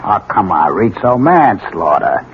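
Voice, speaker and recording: An actor playing a plain, no-nonsense middle-aged police lieutenant, brusque and businesslike, in a 1970s radio drama. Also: male voice, low voice, sing-song, unhurried, exaggerated voice. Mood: gruff, dismissive. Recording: dull, noisy old radio broadcast.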